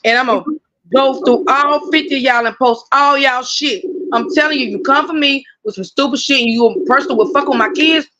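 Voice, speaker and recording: A woman's voice: high voice, brisk, pushed and bright, no room sound, lo-fi sound. Blunt, heated woman in casual chat. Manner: blunt, heated, swears freely. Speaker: host.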